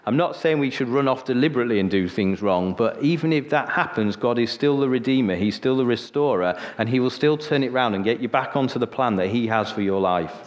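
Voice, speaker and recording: A man's voice: mid-range voice, lively intonation, brisk, few pauses, long reverb tail. A man in his forties from Sheffield with a northern English accent, preaching a sermon in a chatty storytelling way, often checking in with "okay?". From Sheffield, northern England. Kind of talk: sermon with personal testimony.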